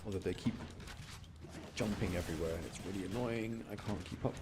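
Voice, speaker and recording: A man's voice: low voice, brisk, very noisy. A man giving casual gameplay commentary, talking to himself and explaining his choices as he rambles along. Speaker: gaming content creator.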